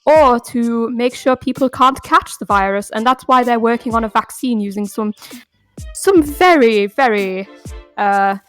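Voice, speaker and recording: A woman's voice: a little high, a little slow, faint music behind, natural voice. A woman voicing a prim, pompous upper-class lady from the 1800s, co-hosting a livestream. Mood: earnest, baffled. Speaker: charity speedrun marathon co-host.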